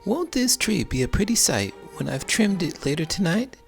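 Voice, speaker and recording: A man's voice: high-pitched, very animated, moderate pace, vocal fry, faint music behind, voice pushed up, full character voice. A man voicing a fretful young housemaid in a storybook reading, in a raised, sighing voice. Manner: sighing, fussy.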